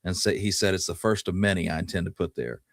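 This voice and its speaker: A man co-hosting a talk show, telling history conversationally and pausing now and then to recall details. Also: low-pitched, no echo, studio quality. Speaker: podcast co-host.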